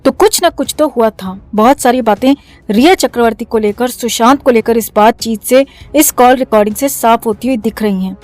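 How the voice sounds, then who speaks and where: A woman's voice: fairly high pitch, normal speed, darkish tone, dry recording. A woman reading a scripted news commentary as a voice-over narrator. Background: background music.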